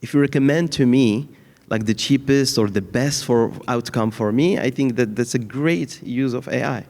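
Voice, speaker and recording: Male panelist, low-to-middle everyday register, halting unscripted delivery, recorded in an echoey hall. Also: darkish tone. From Morocco and France. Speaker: panelist, data science leader in healthcare. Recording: echoey hall with long reverb.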